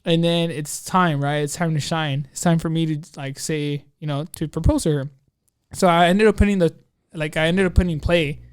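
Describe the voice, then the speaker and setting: A man with a fairly high, smooth voice tells an anecdote on a casual podcast. He rambles excitably in slang, acting out his own asides, in a very dry, close space. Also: moderate pace, dry recording. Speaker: podcast co-host telling a personal story. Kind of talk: casual chat, anecdote storytelling.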